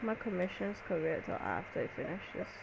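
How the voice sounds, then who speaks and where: A woman gamer with a lively, swooping delivery and a noticeable creak in her voice. She chats casually and playfully with friends while she plays, with clear noise in the background. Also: fairly low pitch, brisk. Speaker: gaming vlogger. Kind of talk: casual gaming chat with friends.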